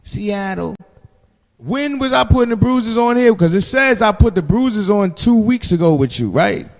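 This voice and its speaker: Gravelly, higher-voiced man in his forties. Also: expressive, normal speed, in a large hall. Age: 40s. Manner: profane, slangy, repeats himself for emphasis. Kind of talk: casual animated storytelling.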